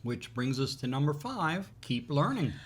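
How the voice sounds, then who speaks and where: A man co-hosting an educational video, giving casual advice in a halting way, repeating words as he thinks. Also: a little high, very animated, husky. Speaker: co-host of an educational video show.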